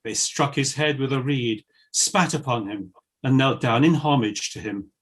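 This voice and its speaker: A man narrating scripture in a solemn, measured and formal reading style. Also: mid-range voice, fairly bright tone, poor audio.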